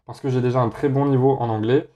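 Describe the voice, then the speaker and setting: A young man from France hosting a casual solo podcast, talking in a relaxed way with lots of hesitations. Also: mid-range voice, quick pace. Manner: relaxed, many filler hesitations. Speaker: podcast host.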